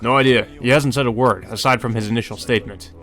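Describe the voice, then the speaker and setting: A man voicing a flustered, grumpy male detective in a visual novel, gruff and spluttering. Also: mid-range voice, steady tone, fast talker, fairly bright tone, lightly acted. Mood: grumbling. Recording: music behind the voice.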